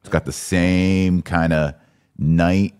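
A man's voice: low-pitched, fairly even intonation, slow, darkish tone, clear, resonant tone. A man hosting a conversational religious commentary podcast, often posing incredulous rhetorical questions. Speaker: podcast host.

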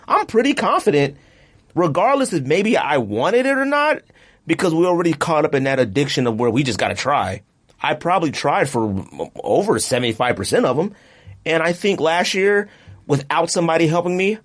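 A man hosting a podcast in casual, slangy discussion, repeating words for emphasis. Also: medium pitch. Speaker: podcast host.

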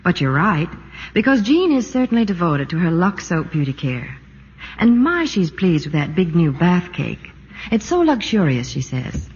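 A woman's voice: lowish voice, sing-song, normal speed, darkish tone, a little hiss or hum. A woman reporter doing scripted, gushing promotional chat on 1940s radio. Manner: gushing, enthusiastic. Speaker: Hollywood reporter. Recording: muffled, reverberant 1940s radio broadcast.

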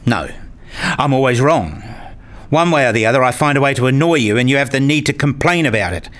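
A man's voice: medium pitch, animated, fairly quick, fairly bright tone, lightly acted. A man playing a weary, exasperated husband and father in a radio play, narrating and reasoning in a placating way. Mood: defensive, tired. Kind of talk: radio play dialogue and first-person narration.

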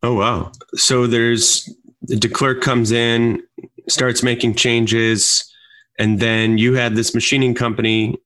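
Man, interviewer, medium pitch, brief prompting questions, conversational. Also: level tone, slow, a bit bright, rough recording.

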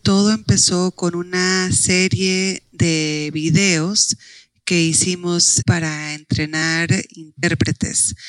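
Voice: medium pitch, a bit bright, no room sound. A language teacher and interpreter trainer explaining classes in plain terms. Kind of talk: conversational interview answer.

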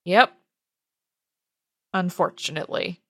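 A woman's voice: lowish voice, slow, a bit bright, dry recording. A sulky teenage boy acted out by a woman in a tabletop game, close and dry in sound. He drags out his curt answers with reluctance and makes his bitterness about his family plain. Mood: bitter.

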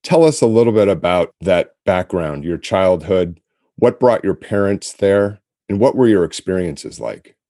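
A man co-hosting a podcast, speaking in a measured, formal way as he opens the show and interviews his co-host. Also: low-pitched, animated, mellow, no room sound, studio quality. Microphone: remote call-in setup.

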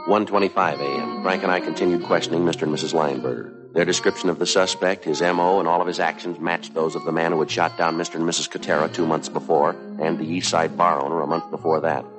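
Stoic American cop narrator, played by a man: brisk, low, terse, businesslike, vintage crime-drama soundtrack. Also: natural voice, rough recording. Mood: flat, businesslike. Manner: clipped, deadpan delivery. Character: stoic, businesslike male police detective sergeant narrating cases. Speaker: narrator and lead detective. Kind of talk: 1950s police drama narration, terse and factual. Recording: 1950s TV soundtrack with music behind.

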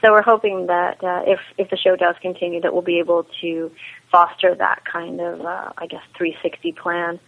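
A woman's voice: fairly low pitch, little pitch movement, normal speed, vocal fry. A woman chatting casually as an interview guest about her creative work. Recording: thin telephone-like call audio.

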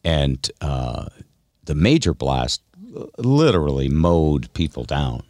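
A low-pitched man hosts casual radio talk with lively swings in pitch. He banters in a wry, deadpan way, and the sound is dry and close-miked. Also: slow, no echo. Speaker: radio show host.

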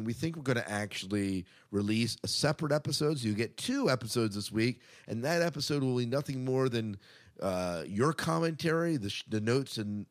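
A man hosting a podcast in a chatty, casual way, part scripted and part off the cuff, with upbeat announcing. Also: fairly low pitch. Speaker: podcast host.

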